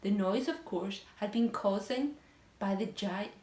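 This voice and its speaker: A woman reading a children's story aloud like a teacher to pupils, in a dramatic, emphatic, expressive storytelling style. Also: mid-range voice, measured pace, roomy sound.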